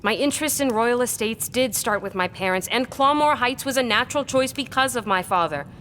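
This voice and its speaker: An actress playing a composed, guarded historian in a gothic period audio drama, measured and earnest in her delivery. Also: fairly high pitch, expressive, fairly bright tone, a little hiss or hum. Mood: earnest, defensive. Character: composed, guarded female historian, quietly apologetic.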